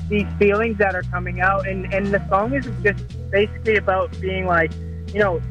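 A musician answers interview questions over a remote line, easygoing and a bit hesitant. His voice sits high for a man, and music plays clearly underneath. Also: fairly even intonation, moderate pace, poor audio. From Canada. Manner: easygoing, hesitant, many fillers and restarts. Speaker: musician guest. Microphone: remote call line.

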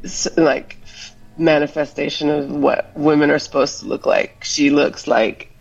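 A woman's voice: low voice, steady tone, a little slow, gravelly, breathy edge, highs cut off, faint music behind. A woman from Hawaii, a musician guest in a casual interview, talking reflectively and rambling with fillers.

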